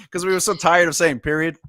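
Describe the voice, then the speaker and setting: Guy co-hosting a book stream, super lively pitch, dry close sound, jokey. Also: dry recording. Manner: animated, explains at length, jokey. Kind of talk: casual livestream chat.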